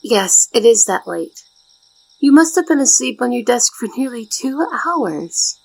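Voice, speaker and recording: A shy young maid, played by a woman in an intimate ASMR roleplay. Her voice is high and breathy with a creaky edge, and she speaks with devoted, formal politeness and flustered hesitation. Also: big pitch swings, measured pace, darkish tone, dry recording, exaggerated voice, poor audio. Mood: gentle, polite. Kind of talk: soft ASMR roleplay acting.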